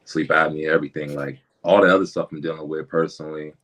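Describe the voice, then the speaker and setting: Young man, extremely low voice, halting, slangy, candid podcast guest. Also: flat delivery, a bit bright. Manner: halting, repeats words, slangy. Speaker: rapper guest.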